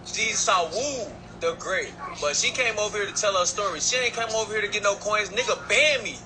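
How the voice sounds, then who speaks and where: A man's voice: sits high, fairly quick, background noise. A man speaking as a livestream guest, brash, profane and insistent, repeating himself. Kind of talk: loud casual livestream chat.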